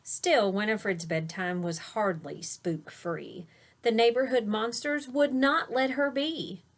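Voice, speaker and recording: Slow-paced woman reading a storybook to children. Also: a little high, slight background noise. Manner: expressive, emphasizes rhymes and key words, explains words simply for young listeners. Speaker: teacher reading a picture book aloud to children. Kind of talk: storybook read-aloud with simple explanatory asides.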